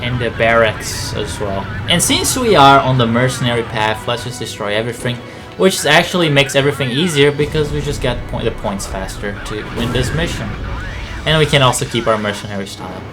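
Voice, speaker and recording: A man provides casual commentary on a video game in a mid-range voice. He talks in a loose, rambling way, working out his thoughts as he speaks. Game music plays clearly behind him. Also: medium pitch, lively intonation, brisk. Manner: rambling, conversational, thinking aloud.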